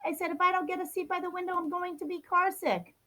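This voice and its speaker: Woman, high voice, storybook read-aloud, expressive, plays up the child's grumbles. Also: fast talker. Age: adult. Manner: expressive, acts out the child's complaints. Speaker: storybook reader. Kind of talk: reading a picture book aloud to children.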